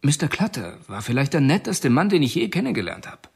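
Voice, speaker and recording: A husky voiced prisoner confides in a radio play, his voice rising and falling with lively swings. He sounds uneasy and confessional, as if owning up to something he regrets. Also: on the high side, a little fast, heavily acted. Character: Floyd Wells, male prisoner and informant. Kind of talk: radio drama acting.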